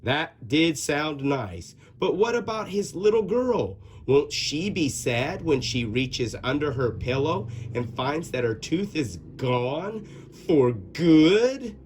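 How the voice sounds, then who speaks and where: Slow, animated man reading a children's story. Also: a little high, a little hiss or hum. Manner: expressive, dramatic pauses and drawn-out words. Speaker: storybook reader. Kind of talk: animated children's read-aloud narration.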